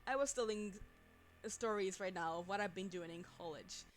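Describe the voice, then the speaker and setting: Young female streamer, Spanish first language; chatty, rambly, quick to laugh; mid-pitched; faint music bed. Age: young adult. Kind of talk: casual livestream chat. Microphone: desk microphone.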